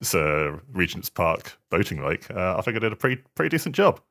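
A man's voice: low-pitched, very animated, brisk, fairly bright tone. A British man chatting casually on a podcast, hesitant and stammering, with a self-deprecating manner. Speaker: podcast co-host, hardware journalist. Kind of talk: casual chat.

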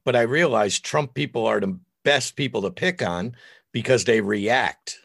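A middle-aged American man with a deep voice, chatting casually and bluntly on a podcast as an opinionated, foul-mouthed guest. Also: normal speed, vocal fry, fairly bright tone, husky, no echo, clean studio sound. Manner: opinionated, blunt, crude joking. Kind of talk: casual, profane podcast chat.

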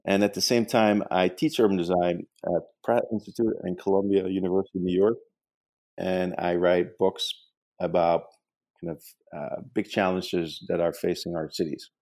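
A Dutch-accented man from the Netherlands, an architect answering interview questions in a halting way with many fillers and repeated words. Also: low-pitched, little pitch movement, a little mellow, no echo. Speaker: guest author, architect.

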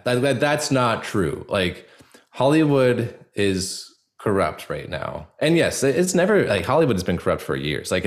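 A man speaking as an interview guest in a casual, earnest way, pausing often as he searches for words. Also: sits low. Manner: halting, searching, full of pauses and filler likes.

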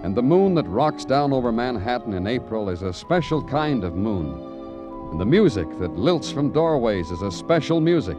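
An actor playing a weary, wry police detective who speaks in clipped, lyrical lines in an old-time radio drama. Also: male voice, on the low side. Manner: brooding, lyrical, clipped delivery. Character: weary, wry, poetic male police detective narrating his case. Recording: vintage radio recording with music.

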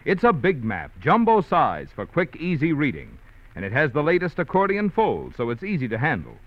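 A man's voice: on the high side, wildly swooping pitch, normal speed, dry recording. A man reading a scripted commercial as a 1940s radio announcer, on a muffled old recording.

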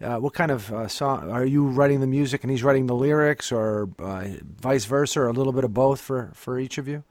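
A male interviewer asking questions in a casual chat, halting and fumbling as he phrases them. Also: mid-range voice, a little mellow, no room sound.

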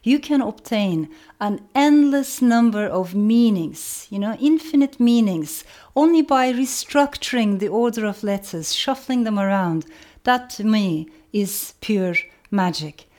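Middle-aged woman writer, turkish is her first language, slow earnest talk, repeats herself for emphasis. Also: medium pitch, animated, dark tone. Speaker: novelist. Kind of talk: reflective talk to camera.

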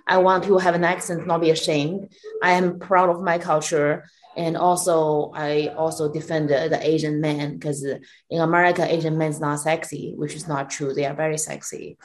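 A woman's voice: sits low, level tone, moderate pace. A woman with a Chinese accent, a comedian and actress talking candidly on a video call from her office, blunt and swearing freely with many fillers. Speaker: comedian and actress, interview guest. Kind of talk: casual interview chat.

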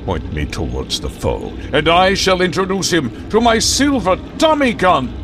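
Melodramatic costumed vigilante, radio-play style: grandiloquent, slow, hugely animated pitch. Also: male voice, music in the background, exaggerated voice. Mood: grave, urgent. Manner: theatrical, grandiloquent, alliterative proclamations. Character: pompous costumed crime-fighting vigilante millionaire, grandiose and melodramatic. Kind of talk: 1940s radio serial acting.

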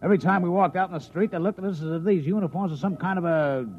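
A man's voice: high-pitched, quick pace. An American man playing an aggrieved, hard-drinking soldier in a radio drama, blustering and grumbling in colloquial speech. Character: aggrieved, boozy male soldier, brawling sidekick. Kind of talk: 1950s radio drama acting. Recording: dull, noisy old radio recording.

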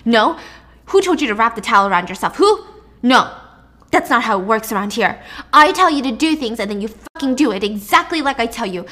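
A furious, domineering villain of a man from a spoken thriller story, acted out by a woman. The voice is loud and high, barking short commands and repeating demands with controlling menace. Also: normal speed.

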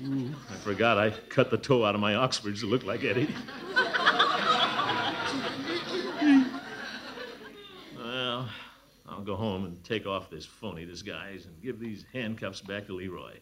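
A man's voice: moderate pace, close to an everyday voice. An actor playing a pompous, blustery middle-aged man in a 1940s radio comedy. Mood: grumbling. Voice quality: booming, blustery. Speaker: lead character. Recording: old radio broadcast with audible noise.

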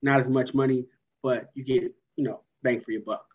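A man's voice: mid-range voice, steady tone, moderate pace, breathy edge. A man hosting a casual chat, talking in an easygoing, chatty way. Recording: dull lo-fi sound.

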